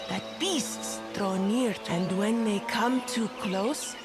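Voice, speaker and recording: An actress playing a woman in a video game who tells a monster tale in a measured, boastful way. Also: fairly high pitch. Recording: game audio with music.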